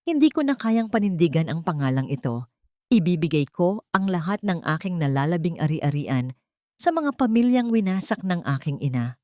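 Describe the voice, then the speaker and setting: A Filipino woman voicing a remorseful, humble father in an audiobook drama, solemn and measured. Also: fairly low pitch, big pitch swings, warm tone, no echo, studio quality. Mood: resolute, remorseful. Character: remorseful humble father renouncing his family's fortune.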